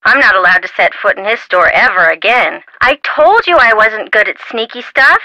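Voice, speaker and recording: An American actress playing a perky, curious, chatty young woman amateur sleuth in a video game, with an expressive, girlish manner. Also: medium pitch, normal speed, dry recording, poor audio. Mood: chatty, sheepish. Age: young adult. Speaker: voice actor. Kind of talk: video game character dialogue.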